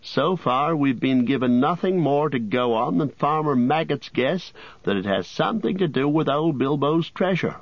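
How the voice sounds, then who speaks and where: A man's voice: on the high side, expressive, normal speed, dry recording, lo-fi sound, pitched above the performer's own voice. Pippin, a man doing a chatty young hobbit. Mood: telling. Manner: cheerful, talkative.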